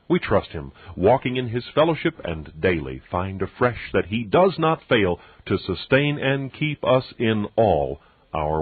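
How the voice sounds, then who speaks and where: A man reads religious text aloud as an audiobook narrator, in a solemn, measured and emphatic preacherly manner. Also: lowish voice, slightly rough, dry recording. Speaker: audiobook reader. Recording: dull muffled radio-like sound, very dry.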